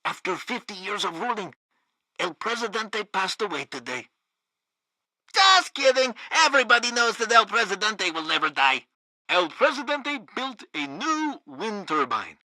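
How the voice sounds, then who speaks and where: Bright, lively smug news host. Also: female voice, mid-range voice, unhurried, no room sound, heavily acted. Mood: breezy deadpan. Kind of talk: satirical scripted radio news.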